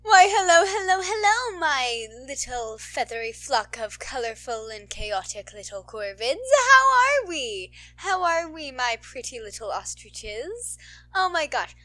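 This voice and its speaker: High, bright, bubbly young woman. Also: sing-song, a little slow. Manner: bubbly, playful, rambling. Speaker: podfic reader and channel host. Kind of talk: casual chatty outro to listeners.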